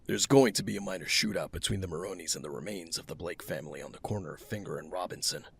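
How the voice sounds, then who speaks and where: An actor playing a brooding, secretive masked vigilante detective in an audio drama, gravelly and terse. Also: male voice, sits low, quick pace, heavily acted. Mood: stern, dismissive. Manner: terse, clipped.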